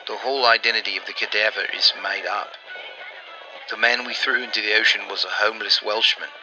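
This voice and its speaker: An actor playing an earnest, persuasive Allied intelligence operative in a 1940s-style radio drama, explaining things deliberately. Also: male voice, on the low side, steady tone, over music, lightly acted, poor audio.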